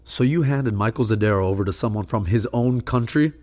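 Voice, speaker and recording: An actor playing a forceful, incredulous FBI agent taking command at a tense scene. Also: male voice, lowish voice, a little fast, close to an everyday voice. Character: angry, determined male FBI agent. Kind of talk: web series drama acting.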